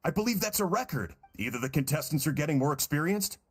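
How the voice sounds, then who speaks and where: A man voicing a genial, proud middle-aged cook in an anime-style video game, hearty and explanatory, with a husky voice. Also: fairly high pitch, animated, fast talker, soft background music. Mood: genial, explaining.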